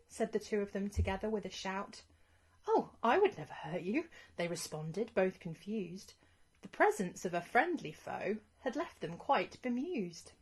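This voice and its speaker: A woman narrates a rhyming children's storybook. Her mid-pitched voice is expressive and very animated, rising and falling with each line in a measured storytelling style. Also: breathy edge.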